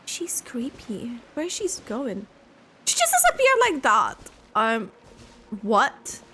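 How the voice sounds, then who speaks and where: A woman's voice: fairly high pitch, wildly swooping pitch, slow pace, a bit bright, slight background noise. A woman giving casual reactions as she plays a game, often in short, trailing remarks. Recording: faint game music behind.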